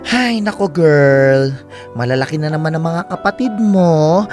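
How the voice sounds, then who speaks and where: A woman's voice: deep voice, expressive, measured pace, a little mellow. A woman playing a sassy, big-hearted salon owner with campy, slangy delivery in a Filipino audio drama. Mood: concerned, nagging. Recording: music under the voice.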